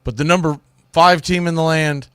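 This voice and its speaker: A man's voice: on the high side, fairly even intonation, moderate pace, a bit bright, clean tone, no room sound, clean studio sound. A man hosting sports radio, giving opinionated college football commentary in a blunt, emphatic, colloquial way.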